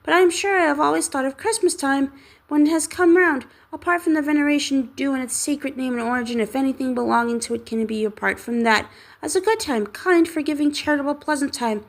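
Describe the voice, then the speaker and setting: A cheerful young man pleads his case brightly and earnestly, as a woman voices him. His voice rides well above her reading voice and stays lively throughout. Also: high voice, a little fast, pitched above the performer's own voice.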